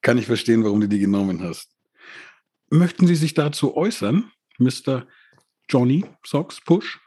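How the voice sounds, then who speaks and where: Man, husky voice, dry close sound, casual rap talk, easygoing. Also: mid-range voice, normal speed, dry recording. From grew up bilingual. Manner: relaxed, laughs often, fillers like "ne?". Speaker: podcast co-host reviewing rap releases. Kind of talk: casual chat.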